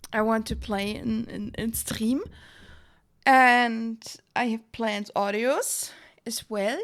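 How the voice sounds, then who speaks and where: A young woman chatting casually on a livestream in an excitable, giggly manner. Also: fairly high pitch. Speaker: streamer.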